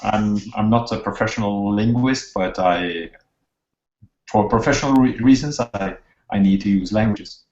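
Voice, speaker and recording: A man from Norway with a Norwegian accent, a student casually introducing himself in a halting, self-correcting way over a video call. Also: on the low side, a little mellow, a little hiss or hum. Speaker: online course student, social scientist. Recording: echoey, muffled lo-fi video call.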